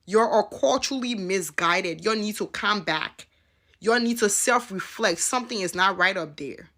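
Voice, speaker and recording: A woman's voice: mid-range voice, moderate pace, a bit bright. A woman in a casual social media video, exasperated and calling people out, using slang.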